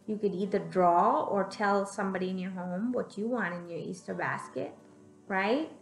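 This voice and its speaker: A young woman reading a picture book aloud to young children in an expressive, teacherly way, pausing to put questions to them. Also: mid-range voice. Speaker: children's storyteller. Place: room at home, indoors. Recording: echoey room, music in the background.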